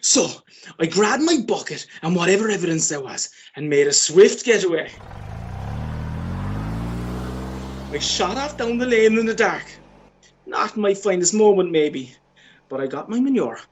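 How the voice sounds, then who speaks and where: A man playing a scheming, excitable Irish countryman in a radio play, talking in a colloquial, cajoling way. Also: high voice, moderate pace. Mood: excited, confiding. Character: scheming, excitable Irish countryman sneaking about a horse farm. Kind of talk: radio play acting and first-person storytelling.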